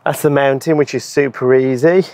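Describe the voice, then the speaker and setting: Hoarse guy, 30s, explaining how to fit a charger outside, lapel mic, laid back with lots of ums. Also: medium pitch, normal speed, no echo. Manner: relaxed, explanatory, with frequent ums and so's. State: hoarse, losing his voice. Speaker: EV charger installer and channel host. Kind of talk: hands-on installation demo. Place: outdoor garden beside a wooden shed.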